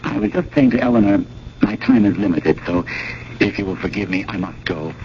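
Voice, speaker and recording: Through the hiss of an old radio broadcast comes an actor playing a stuffy, skeptical museum curator, male, with a lowish and very animated voice. He is formal and politely exasperated. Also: fairly quick, lo-fi sound, natural voice. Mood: uneasily polite. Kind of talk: 1980s radio drama acting.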